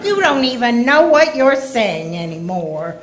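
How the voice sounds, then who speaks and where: Woman acting exasperated sensible wife, higher voice, slow pace, radio comedy, echoey stage. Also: very animated. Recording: roomy, faintly noisy stage.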